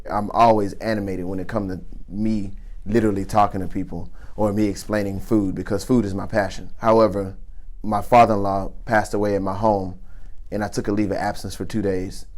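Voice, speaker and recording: A man who works as a chef, with a naturally deep voice, telling his story earnestly and deliberately in an interview. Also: a little mellow. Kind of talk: interview testimony.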